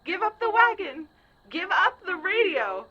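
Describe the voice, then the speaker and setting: A woman as a shrill, menacing ghost girl. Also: very animated, bright, forceful tone, highs cut off, heavily acted. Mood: insistent, angry. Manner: slow, insistent, commanding. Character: menacing female ghost double demanding release. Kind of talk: audio drama acting.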